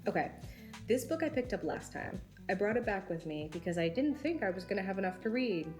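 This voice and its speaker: A woman's voice: mid-range voice, very animated, fairly quick, over music. A young woman, chatting enthusiastically about books in a casual vlog. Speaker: book vlogger.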